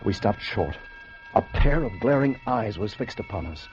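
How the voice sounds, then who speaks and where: An actor playing an earnest, excitable time traveler who tells his adventure intensely in a 1950s radio drama. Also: lowish voice. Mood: tense narration. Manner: intense, deliberate storytelling. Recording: dull vintage radio recording with music.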